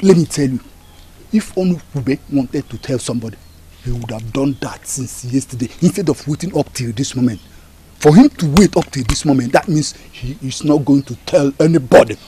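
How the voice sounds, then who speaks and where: An actor playing a confident, scheming village chief, speaking emphatically and reassuringly with a Nigerian accent. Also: male voice, medium pitch, normal speed, mellow, a little hiss or hum, close to an everyday voice. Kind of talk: film drama acting.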